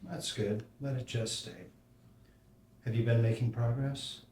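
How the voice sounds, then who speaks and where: An actor reading the part of a gentle, loving father at a screenplay table read. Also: mid-range voice, steady tone, a little slow, far from the mic, slight background noise, natural voice. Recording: echoey room, away from the mic.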